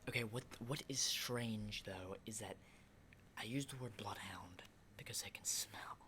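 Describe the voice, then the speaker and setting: Hushed, edgy male apocalypse survivor acted by a man. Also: lowish voice, slight background noise. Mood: urgent, amazed. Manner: halting, hushed, rambling, profane. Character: battle-hardened, rattled male survivor of a zombie apocalypse. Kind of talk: ASMR roleplay monologue.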